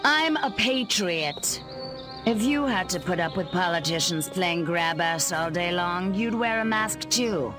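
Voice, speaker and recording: A woman's voice: on the low side, expressive, unhurried, music in the background. A woman playing a scornful, patriotic government agent villain in a video game, theatrical, taunting and sarcastic. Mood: defiant, gloating.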